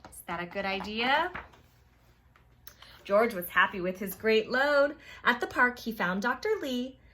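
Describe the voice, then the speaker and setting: Female storytime reader, high voice, very animated delivery, speaks directly to kids and reacts as she reads. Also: a little slow, slight background noise. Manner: expressive, addresses children, exclaims and reacts to the story. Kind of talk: read-aloud picture book narration with asides to young listeners.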